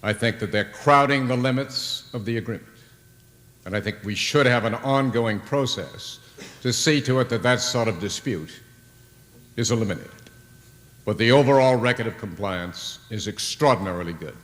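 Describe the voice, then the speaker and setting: A man on a panel giving precise, measured remarks on arms control at a public forum. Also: mid-range voice, a bit bright. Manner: precise, formal and deliberate. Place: large lecture hall. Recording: reverberant hall, 1980s recording.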